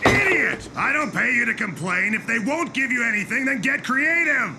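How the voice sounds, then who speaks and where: An actor playing a greedy, bullying, hot-tempered TV producer in an anime dub, bossy and theatrical. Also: male voice, very high-pitched, sing-song, moderate pace, very noisy, heavily acted. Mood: angry, bullying. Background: music.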